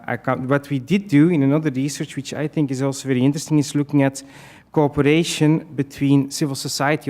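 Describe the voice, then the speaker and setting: Male conference panelist, mid-pitched, hesitant with lots of fillers, answering questions. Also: darkish tone. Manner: hesitant, many fillers and restarts; self-deprecating.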